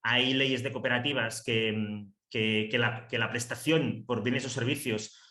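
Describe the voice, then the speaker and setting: A man's voice: medium pitch, fairly even intonation, measured pace, pushed and bright, roomy sound. A man whose first language is Catalan, giving an online seminar talk in a hesitant way, with many fillers and restarts. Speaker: seminar speaker on housing cooperatives.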